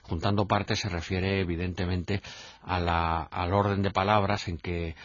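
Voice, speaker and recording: Deep husky man lecturing. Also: little pitch movement. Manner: hesitant, halting, self-repeating, didactic. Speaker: literature professor. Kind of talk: unscripted academic radio lecture. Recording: muffled, echoey lo-fi radio recording.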